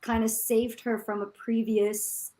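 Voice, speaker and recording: A woman's voice: on the high side, flat delivery, a little slow, mellow. A woman co-hosting a casual book discussion, musing aloud with lots of likes, on a video call. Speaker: book club co-host.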